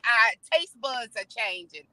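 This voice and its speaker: A woman's voice: on the high side, animated, a little slow, bright, forceful tone, dry recording, low-quality recording. A woman co-host in casual motivational chat, speaking emphatically and repeating phrases for effect. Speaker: talk show co-host.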